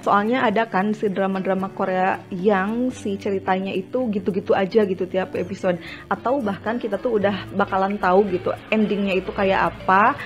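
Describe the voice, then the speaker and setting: A woman's voice: medium pitch, darkish tone. A woman giving a casual, chatty spoken review of a TV drama, with lots of filler words and small restarts.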